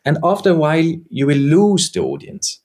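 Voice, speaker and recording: A man's voice: fairly high pitch, wildly swooping pitch, moderate pace, a little mellow. A man whose first language is German, answering interview questions in a searching, reflective way as a theater maker. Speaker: theater maker and game co-creator.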